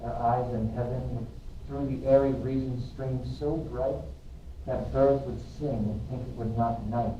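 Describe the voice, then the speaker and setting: A man's voice: mid-range voice, steady tone, unhurried, dark tone, muffled recording, natural voice. A man reading the part of a lovestruck, ardent young man in a Shakespearean verse drama. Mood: rapt, yearning. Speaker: amateur reader in a school play. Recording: roomy, distant mic, faint noise.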